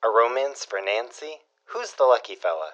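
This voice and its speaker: A man's voice: mid-range voice, sing-song, normal speed, fairly bright tone, lo-fi sound. An actor playing a curious, folksy man with dry, old-fashioned turns of phrase, prying for gossip in a period audio drama.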